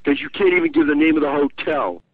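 A man's voice: a little high, wildly swooping pitch, quick pace, dry recording. A blunt, insistent man making a prank phone call in short clipped demands. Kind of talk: prank phone call of repeated canned clips. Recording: thin lo-fi telephone clips.

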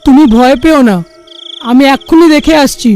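A man's voice: very high voice, normal speed, darkish tone, faint music behind. A man voicing a frightened young village husband in a cartoon, agitated and dramatic. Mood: worried. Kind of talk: cartoon voice acting.

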